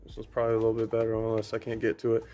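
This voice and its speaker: A man giving a casual how-to explanation, plain-spoken and thinking out loud as he goes. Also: on the low side, monotone, racing. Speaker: DIY car audio vlogger.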